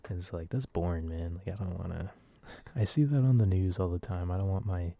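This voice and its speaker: Dark, low, soft-spoken young man. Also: a little fast, gravelly. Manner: casual, self-deprecating. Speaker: ASMR video creator. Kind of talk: soft-spoken rambling ASMR.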